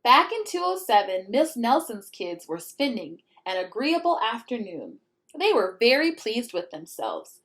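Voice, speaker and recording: A woman reading a children's picture book aloud expressively, pausing to put questions to young listeners. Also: a little high, wildly swooping pitch, a bit bright. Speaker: read-aloud storyteller.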